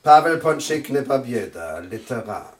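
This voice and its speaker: An actor playing a vain, boisterous writer in a German radio drama, with a pompous, declamatory delivery. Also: male voice, medium pitch, very animated, moderate pace, natural voice. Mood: jovial, boastful. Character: vain, boisterous male hack writer, self-important.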